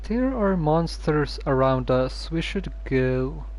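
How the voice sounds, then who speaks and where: A male streamer chatting casually over his game. He talks slowly and stumbles over hard words. His voice sits a little high. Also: animated, a little mellow, slight background noise, rough recording.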